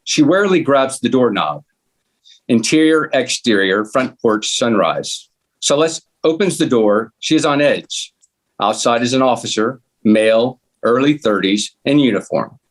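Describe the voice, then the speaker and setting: A man reading a screenplay's scene headings and action lines as narrator at a table read, in a measured, plain way. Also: medium pitch, expressive. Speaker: stage directions reader.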